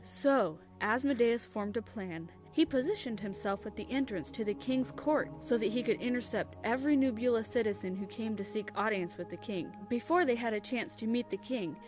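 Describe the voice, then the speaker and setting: A woman playing a kindly storyteller in an audio drama, earnest and deliberate as she narrates. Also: on the high side, a little mellow, faint music behind, lightly acted. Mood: storytelling. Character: kindly grown woman telling a child a story.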